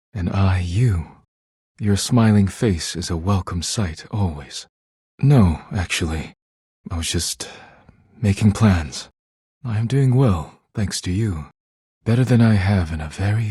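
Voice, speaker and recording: A man playing a formal, earnest, reserved video game character with measured diction and a husky voice. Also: low-pitched, warm tone, no echo, studio quality, heavily acted. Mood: warm, pleased. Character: formal, earnest, reserved man, once workaholic, now tender. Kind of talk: scripted game dialogue, formal romance scenes.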